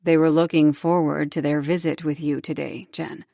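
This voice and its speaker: A woman voicing a divorced father in an audiobook, speaking in a tight, controlled way, a bit lower than her own voice. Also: measured pace, vocal fry, darkish tone, dry recording, clean studio sound, heavily acted. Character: calm, firm divorced father.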